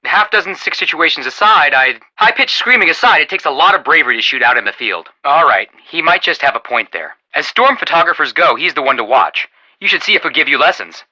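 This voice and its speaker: Very bright, excitable young male sleuth. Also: fairly high pitch, fast talker, heavily acted, poor audio. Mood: chatty, opinionated. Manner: chatty, joking, emphatic. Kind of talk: animated video game dialogue.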